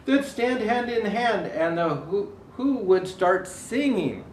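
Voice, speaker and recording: A man reading a children's Christmas story as Santa, in an animated, theatrical way with drawn-out repetition and emphasis. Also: sits high, slight background noise. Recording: roomy echo, distant microphone.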